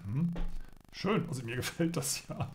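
A man's voice: a little high, lively intonation, normal speed, slight background noise. A middle-aged man co-hosting a casual live stream, chatting and joking while reading headlines aloud. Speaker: co-host. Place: indoor room, seen on video.

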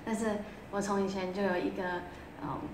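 A young woman being interviewed as a vocal student, answering softly in a casual way with brief fillers. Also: medium pitch, flat delivery, fairly quick, noisy background. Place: living room, on a sofa. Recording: echoey room with music behind.

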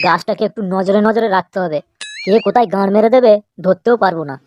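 Casting: man as indignant old cartoon grandpa, high processed voice, rattling pace. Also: a little mellow, no echo, clean studio sound. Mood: grumbling scheming. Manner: scolding, indignant. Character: grumpy, tattling, foul-mouthed old village man. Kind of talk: amateur comedy cartoon dubbing.

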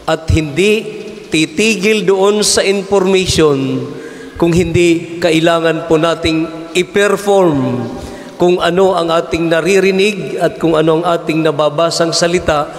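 A Filipino priest in his forties preaching a homily at a lectern mic, measured and asking rhetorical questions. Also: on the high side, steady tone. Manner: measured, asks rhetorical questions, mixes in English terms. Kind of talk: sermon. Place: large church, indoors. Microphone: stand mic at lectern. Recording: echoey church with long reverb.

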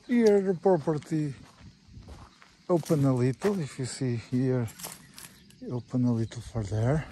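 Slow-talking Portuguese man, halting English. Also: medium pitch, mellow. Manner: halting, simple English with short phrases. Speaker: real estate agent. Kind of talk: informal property walkthrough. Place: outdoors on a rural farm. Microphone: handheld phone or camera held by speaker. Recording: outdoor handheld recording with faint background noise.

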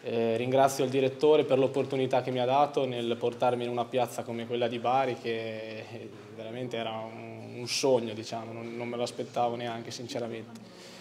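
A young male footballer giving a post-match interview into a handheld mic in front of a sponsor backdrop, speaking softly and hesitantly, with many fillers. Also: mid-range voice, completely flat delivery, moderate pace, a little hiss or hum. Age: young adult. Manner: soft-spoken, hesitant with fillers. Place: outdoor sponsor backdrop by a stadium. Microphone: handheld interview mic held close.

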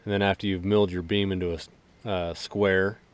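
A man in his thirties talks through a build in a relaxed, easygoing way, his voice sitting on the low side. He is outdoors in a wooded yard, recorded on a camera held close. A faint hiss sits under the recording. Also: brisk. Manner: relaxed, explanatory, joking. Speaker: vlogger. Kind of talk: casual walkthrough.

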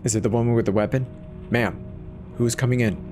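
A man playing a steady, reassuring emergency dispatcher in a horror audio drama, urging the caller along. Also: mid-range voice, fairly quick, soft background music, lightly acted. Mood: alarmed. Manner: calm, reassuring, soft-spoken urging.

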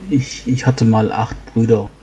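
A man's voice: fairly low pitch, flat delivery, measured pace, mellow, lightly acted. A man roleplaying a mild human adventurer in casual tabletop game conversation. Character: mild human man, middle child of many.

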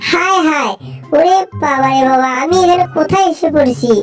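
Woman doing a wailing excitable cartoon animal, bangladeshi accent, really high pitched, faint music behind. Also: fairly quick, close to an everyday voice. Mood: panicked. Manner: exclamatory, repetitive wailing and pleading. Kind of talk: amateur cartoon dub.

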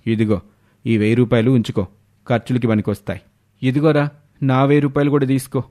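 A man's voice: medium pitch, flat delivery, fairly quick, warm tone, natural voice. A man playing a callous, mocking elder son in a cartoon, condescending and dismissive.

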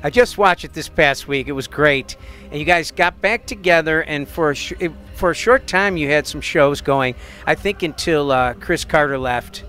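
Higher-voiced man asking rambling questions. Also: normal speed, fairly bright tone, soft background music. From Metro Detroit. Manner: long, rambling questions with fillers. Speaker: interviewer. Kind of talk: casual interview questions. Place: indoor venue backstage room. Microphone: handheld mic.

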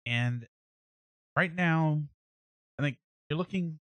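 A man in his thirties hosting a sports podcast, giving casual analysis in a halting way as he thinks aloud. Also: fairly high pitch, fairly bright tone, dry recording. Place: small indoor room at home, on a livestream webcam.

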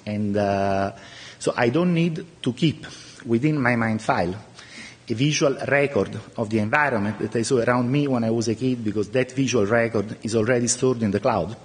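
A middle-aged man whose first language is Italian gives a conference talk from behind a podium, his delivery halting and filled with pauses. His voice is slightly husky and sits at an ordinary pitch for a man. The sound carries the long reverb of a large lecture hall. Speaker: conference speaker.

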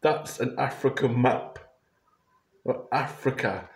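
A man's voice: medium pitch, takes it slowly. Rambling young man in an echoey bedroom. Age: young adult. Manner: rambling, restarts and self-corrections. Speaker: YouTuber reacting to photos. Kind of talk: rambling casual vlog commentary. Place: small bedroom indoors. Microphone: webcam or phone camera at close range.

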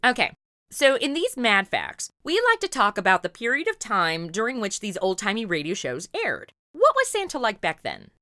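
A woman's voice: medium pitch, wildly swooping pitch, moderate pace, pushed and bright, no echo, studio quality. A woman hosting a podcast interview segment, putting questions to a guest. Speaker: podcast host. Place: small recording booth.

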